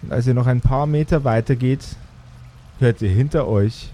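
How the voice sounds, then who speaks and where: A man narrating an improvised tabletop role-playing game as game master, descriptive and measured, pausing between phrases. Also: medium pitch, warm tone.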